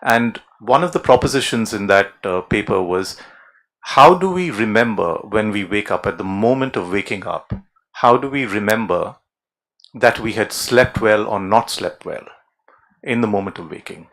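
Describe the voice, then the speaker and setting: A man's voice: on the low side, animated, moderate pace, fairly bright tone. A man moderating a panel discussion, speaking thoughtfully and hesitantly with many fillers.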